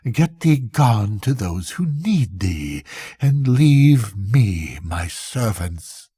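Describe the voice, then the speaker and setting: An older man voicing Avarice, a miserly, possessive, defiant female spirit, in slow, emphatic archaic speech for a bedtime story. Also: on the low side, sing-song, dark tone, husky, no echo, clean studio sound, heavily acted. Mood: pleading, reproachful.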